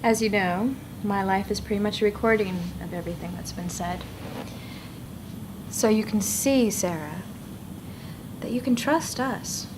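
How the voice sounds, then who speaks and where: An actress playing a calm, persuasive member of a women's group. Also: female voice, mid-range voice, unhurried, pauses often, close to an everyday voice. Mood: reassuring. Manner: calm, reassuring. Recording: noisy indie film audio.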